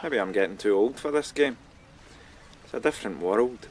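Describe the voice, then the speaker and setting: An actor playing a dry, ruminative, world-weary older detective in a radio drama. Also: male voice, fairly low pitch, lively intonation, normal speed, darkish tone, close to an everyday voice. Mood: wry, weary.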